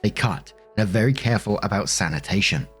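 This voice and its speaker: Man, low voice, online story narrator, reading aloud, casual commentary style, faint music underneath. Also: expressive, fast, slightly rough. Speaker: host narrating Reddit stories.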